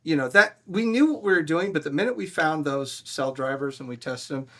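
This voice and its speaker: Guy guest explaining his speaker design, voice a bit high, agreeable, casual, repeats words as he thinks. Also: fairly quick, a bit bright. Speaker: loudspeaker maker, guest. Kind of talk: casual product discussion. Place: large, minimally treated listening room.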